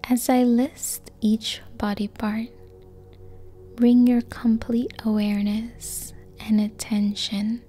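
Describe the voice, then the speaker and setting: Soft whispery lady doing yoga nidra, warm relaxed tone, super calm, faint music behind her. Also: on the high side. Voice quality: soft, breathy, near-whispered. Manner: calm, gentle, long pauses between short phrases. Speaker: yoga nidra meditation guide. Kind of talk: slow guided sleep meditation.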